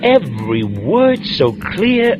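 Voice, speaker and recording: An actor in a 1940s radio drama playing a brave, plain-spoken police lieutenant who talks in casual slang. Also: male voice, on the high side, huge pitch swings, slow pace, lo-fi sound, heavily acted. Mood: friendly. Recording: old radio broadcast with music.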